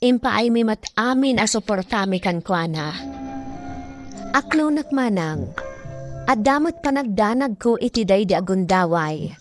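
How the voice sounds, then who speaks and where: An actress plays a prayerful, worried woman devoted to her loved one. She delivers a radio drama monologue in a mid-pitched voice, with music underneath. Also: animated, a little slow, close to an everyday voice. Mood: worried, hopeful.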